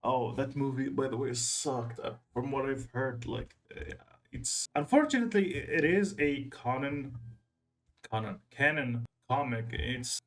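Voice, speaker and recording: Male reaction host, medium pitch, casual commentary, rambling, halting, repetitive. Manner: rambling, halting, repeats himself.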